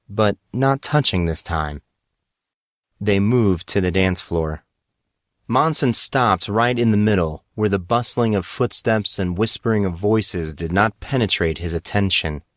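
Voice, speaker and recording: A man with a low voice narrates a piece of fiction. His storytelling is measured and dramatic, clearly read from a script. The recording is dry, with no room sound. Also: fairly bright tone, no echo.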